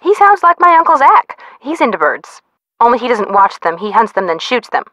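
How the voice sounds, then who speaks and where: An excitable young woman chats away as the detective's friend in a video game. Her girlish voice runs fairly high with big melodic swings, gushing and expressive. The sound is dry and close. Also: brisk, dry recording. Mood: chatty, curious. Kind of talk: scripted video game voice acting.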